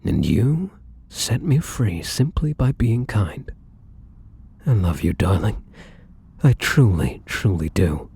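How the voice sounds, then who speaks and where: A weary, devoted vampire sweetheart, acted by a man for an intimate ASMR roleplay. The voice is low and very breathy, almost husky, and moves very slowly. He speaks with gentle affection, hesitating and lingering over his words. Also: sing-song, exaggerated voice. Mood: devoted, earnest. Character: tender, devoted male vampire lover, weary from battle.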